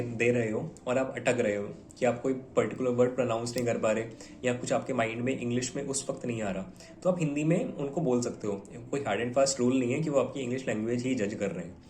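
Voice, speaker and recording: A man's voice: mid-range voice, fairly quick, darkish tone, a little hiss or hum. A young man from India, giving casual, conversational advice to viewers and mixing Hindi with English words. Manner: conversational, mixes Hindi with English words, fillers like "theek hai". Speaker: bank officer giving exam advice. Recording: echoey room.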